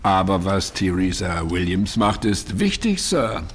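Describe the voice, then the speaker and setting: A dignified old butler with a fairly low male voice. He is formal and courteously insistent, as in a radio play, over faint ambient noise. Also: very animated, measured pace. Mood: politely insistent. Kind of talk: audio drama dialogue.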